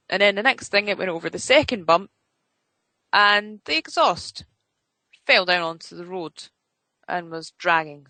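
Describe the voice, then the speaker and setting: A woman's voice: medium pitch, sharp tone, no room sound. Woman, radio host, rambling casual chat, close dry mic. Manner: chatty and rambling, with restarts and fillers.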